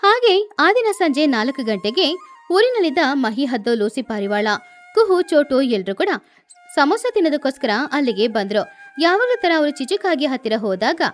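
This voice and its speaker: A woman narrates a children's tale in a very high voice. Her pitch swings in a lively way, and music runs behind the narration. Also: normal speed. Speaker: story narrator. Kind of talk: scripted children's story narration.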